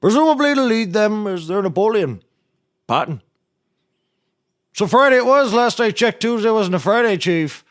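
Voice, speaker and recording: Folksy, bumbling lawyer-captain played by a man, higher-pitched. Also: big pitch swings, moderate pace, pauses often. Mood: scatterbrained, self-assured. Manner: folksy, mock-formal, digressive. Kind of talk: scripted comedy courtroom drama acting.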